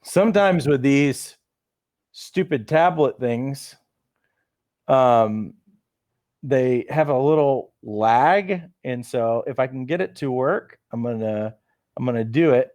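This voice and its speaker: A man's voice: medium pitch, lively intonation, slow pace, darkish tone, no room sound, studio quality. A man hosting a live coaching webinar, upbeat, reading out viewer comments over a video call. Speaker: host of a coach training webinar. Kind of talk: casual live webinar chat.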